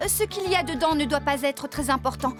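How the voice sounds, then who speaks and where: Female farmer, played by a woman for kids' cartoon: high, bright-spirited, practical, music underneath. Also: a little fast, close to an everyday voice. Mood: brisk, cheerful. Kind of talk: French-dubbed children's cartoon acting.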